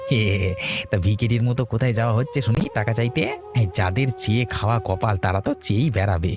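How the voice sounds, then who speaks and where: Fast, sneering village bully played by a man. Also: on the low side, over music, lightly acted. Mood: mocking. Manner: sneering, hectoring. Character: rude, spiteful village man, scornful bully. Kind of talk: Bengali fairy tale cartoon dubbing.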